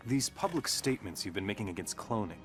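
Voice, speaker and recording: An actor playing a wry, skeptical American reporter, needling and incredulous, in a video game cutscene. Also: male voice, lowish voice, very animated, quick pace. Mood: skeptical, probing. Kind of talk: dramatic game cutscene dialogue. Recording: music behind the voice.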